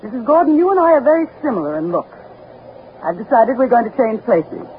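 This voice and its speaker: On a thin, noisy old radio recording, a ruthless female spy. Her highish voice moves with great range, clipped and commanding, with a polite menace in the manner of vintage radio drama. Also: sing-song, brisk, narrow, tinny audio. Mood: menacing, controlled. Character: cool, ruthless female spy posing as a government agent.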